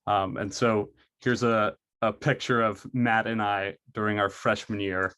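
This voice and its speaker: A man's voice: lowish voice, steady tone, unhurried, bright, forceful tone. A young man from Maine, a college student giving an earnest prepared presentation, with frequent fillers and restarts. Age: young adult.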